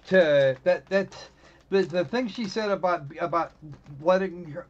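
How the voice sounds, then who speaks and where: Guy streamer w a high voice, kinda lo-fi mic, rambly casual chat, keeps restarting his sentences. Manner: halting, repeats and restarts phrases. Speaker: live stream host.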